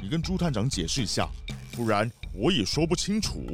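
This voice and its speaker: An earnest, puzzled official, played by a man in a kids' mystery radio play. His medium-pitched voice is lively, over faint music. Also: brisk, natural voice. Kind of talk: children's detective audio drama.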